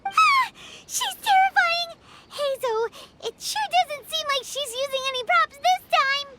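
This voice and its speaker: A woman voicing a tiny, childlike fairy companion in a video game, squeaky, excitable, exclamatory and easily scared. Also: measured pace, a little hiss or hum, full character voice. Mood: frightened. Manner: talks of self in third person, exclamatory.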